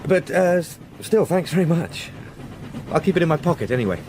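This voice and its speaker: Casting: male actor as a well-spoken, mannerly English lawyer for period radio drama, voice a touch high, noisy surroundings. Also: animated, a little fast, lightly acted. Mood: cheerful, polite. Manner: courteous, formal, well-spoken. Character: polite, proper London solicitor, Arthur Kipps.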